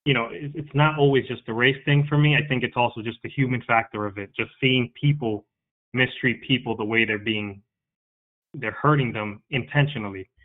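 A man in his thirties from New York speaks as an interview guest. His mid-range voice is earnest and halting, pausing to find words. It comes through a narrow, tinny phone-like line. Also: mid-range voice, steady tone. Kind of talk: candid interview talk.

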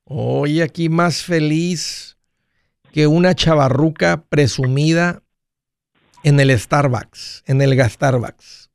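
A man's voice: on the high side, slow pace, vocal fry, clean tone, dry recording, studio quality. A man hosting a call-in advice show, talking in a folksy, conversational way. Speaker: host of a personal finance call-in show.

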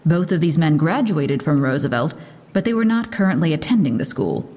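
A lady police lieutenant at a press briefing, lowish voice, dry and sardonic, audio drama. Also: lively intonation, brisk, warm tone. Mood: measured, official.

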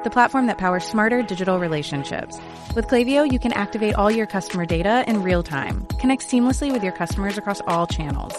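A woman reading a scripted advertisement in a smooth, upbeat promotional manner. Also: mid-range voice. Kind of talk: scripted ad read.

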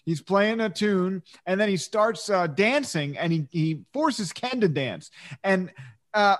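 A man's voice: sits high, animated, unhurried. A man co-hosting a casual talk show, making joking asides and plugs. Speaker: co-host. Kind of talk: casual podcast chat.

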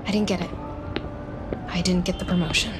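A woman's voice: lowish voice, steady tone, fairly quick, loud music behind the voice, lightly acted. An actress playing an awkward, eager, lonely young woman who stammers and rambles nervously. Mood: dejected. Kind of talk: naturalistic audio drama acting.

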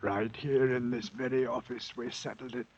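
An actor playing a dazed, half-mad adventurer in an old radio drama, speaking haltingly in a husky, breathy voice. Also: male voice, mid-range voice, steady tone, darkish tone, slight background noise, lightly acted. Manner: halting, wandering, childlike insistence. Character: broken, half-mad British ex-soldier adventurer, dazed and haunted. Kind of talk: 1940s radio acting. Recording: muffled vintage radio recording.

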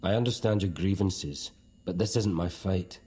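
An actor playing a reserved, polite and guarded spaceship lieutenant in an audio drama. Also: male voice, sits low, moderate pace, dark tone, slightly rough. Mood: polite, firm.

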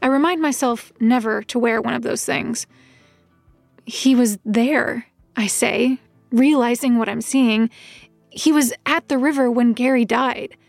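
Weary, high-pitched, creaky narrator. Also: female voice, fairly even intonation, no echo, faint music behind. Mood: wry, reluctant. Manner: measured, literary, wry. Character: world-weary, recovering-alcoholic woman narrator, reluctant detective's helper. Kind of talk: first-person scripted audio drama narration.

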